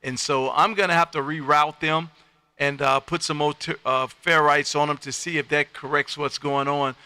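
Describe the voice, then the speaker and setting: A man hosting casual amateur radio chat, with a loud, folksy, animated patter. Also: on the high side, normal speed, clear, resonant tone, dry recording. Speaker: amateur radio operator and livestream host. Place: home radio room.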